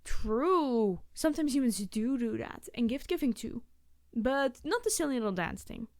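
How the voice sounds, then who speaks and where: Woman acting a high-voiced, awkward, chatty young biologist. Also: very animated, moderate pace, a little mellow, no room sound, clean studio sound. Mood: shy, flustered. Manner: gushing, rambling, hesitant and flustered. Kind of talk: roleplay audio addressed to the listener.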